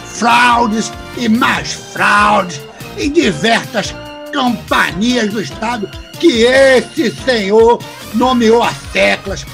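Casting: actor as pompous, mocking male debate opponent, audio drama, high-pitched and slow. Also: vocal fry, music in the background, exaggerated voice. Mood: accusing, pompous. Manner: sarcastic, pompous, drawn-out delivery.

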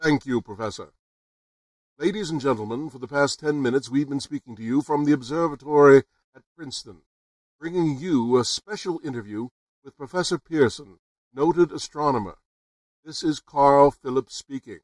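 A man's voice: medium pitch, moderate pace, darkish tone, dry recording, far from the mic, lightly acted, rough recording. A man acts out an earnest radio news reporter in an old-time radio drama. He announces formally, as if live on location. The sound is dry and a little away from the microphone. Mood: formal, announcing. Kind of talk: 1930s radio drama acting, live broadcast commentary.

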